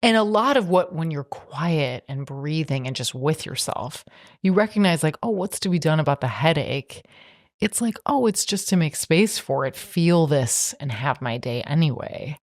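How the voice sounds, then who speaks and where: A woman's voice: sits low, lively intonation, moderate pace, dry recording, studio quality. A woman co-hosting a podcast, talking casually and reflectively about relationships, often saying "like". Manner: reflective, therapy-informed, frequent "like". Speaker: podcast co-host.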